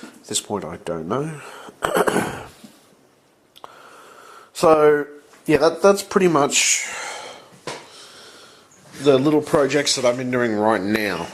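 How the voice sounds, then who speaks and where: A man with blocked sinuses, talking off camera at a home workbench in a rambling, halting way that breaks into swearing when he is frustrated. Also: mid-range voice, big pitch swings. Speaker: channel host. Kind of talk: casual vlog.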